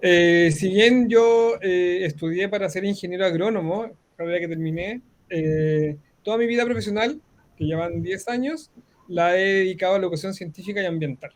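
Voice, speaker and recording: A Chilean man in his thirties, a science educator, explaining his views clearly as an interview guest. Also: high-pitched, normal speed. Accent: Chilean Spanish. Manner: articulate, explanatory. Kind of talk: interview answer.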